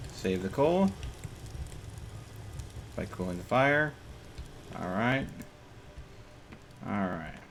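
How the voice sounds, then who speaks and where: A man's voice: on the high side, fairly bright tone. Man streaming a game over background noise. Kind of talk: casual live gameplay commentary.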